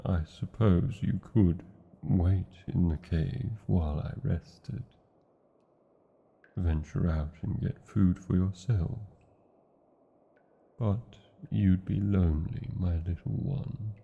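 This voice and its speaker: A British man voicing a huge, ancient, proud yet lovesick dragon in a romantic fantasy roleplay, with a gravelly, husky voice and archaic, theatrical phrasing. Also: deep voice, steady tone, phone-quality sound, exaggerated voice. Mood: tender, sorrowful. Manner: archaic, grandiose phrasing with lingering pauses.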